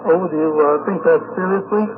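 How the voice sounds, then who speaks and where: High-voiced man interviewing on noisy old radio. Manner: halting, repeats words while forming questions. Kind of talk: on-location interview, 1950s radio. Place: on location at a convention. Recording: noisy, tinny 1958 radio field recording.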